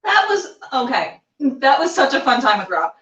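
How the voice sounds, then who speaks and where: A woman with a high voice hosts a web show in a chatty, self-mocking way. She is bubbly and theatrical, and sounds a little distant from the microphone. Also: very animated, moderate pace, a bit bright, muffled recording. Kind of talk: livestream hosting, casual chatty announcements. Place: indoor room with a door. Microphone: camera on a tripod, a little distant.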